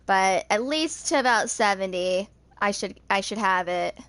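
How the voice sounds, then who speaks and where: A woman's voice: medium pitch, lively intonation, unhurried, fairly bright tone, dry recording. Casual young woman gamer, dry close sound. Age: 20s. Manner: informal, thinking aloud. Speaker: gaming video creator. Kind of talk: casual rambling game commentary.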